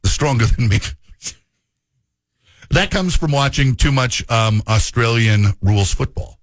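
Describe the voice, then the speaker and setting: A man with an Upper Midwestern accent hosts a radio show, chatting and joking in a lively voice that moves up and down a lot. He laughs easily, and the studio sound is dry. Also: mid-range voice, unhurried, no echo. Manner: chatty, laughs often, jokey. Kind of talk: casual radio banter.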